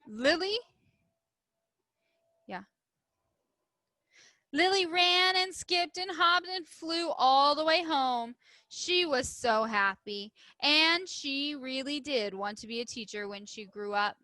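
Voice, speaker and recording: A woman's voice: high-pitched, animated, slow, pauses often, pushed and bright, no room sound, studio quality. A woman teacher reading aloud and leading a writing lesson for young children, explaining simply and asking them questions. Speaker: primary school teacher.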